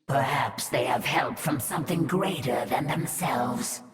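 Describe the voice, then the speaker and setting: A woman's voice: very deep voice, a little slow, faint music behind. A woman voicing a solemn, reverent alien broodmother creature in a video game, stately and archaic in speech. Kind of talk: video game cutscene acting. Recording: distant, heavily echoing voice.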